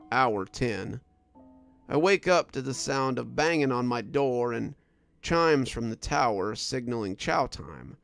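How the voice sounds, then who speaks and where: A man voicing a wounded, delirious prison guard sergeant in a horror story, speaking in a weary, halting, frightened way. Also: medium pitch, vocal fry, heavily acted. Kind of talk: first-person horror story narration.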